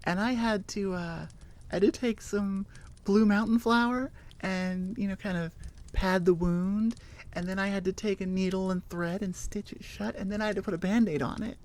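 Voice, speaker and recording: A woman's voice: medium pitch, steady tone, normal speed, darkish tone. A woman chatting casually and informally as an audio creator, rambling with enthusiasm. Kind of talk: casual rambling outro chat.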